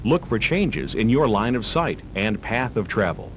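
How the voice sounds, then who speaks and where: Male instructional narrator with lively intonation. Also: on the low side. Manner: measured, instructional delivery. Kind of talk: scripted driver-education video narration. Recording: hum and hiss of old tape.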